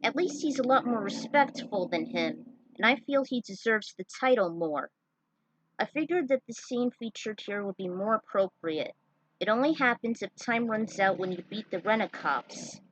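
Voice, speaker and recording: A woman's voice: medium pitch, lively intonation, normal speed, poor audio. A woman reading her own fan fiction and adding casual, opinionated commentary as its writer.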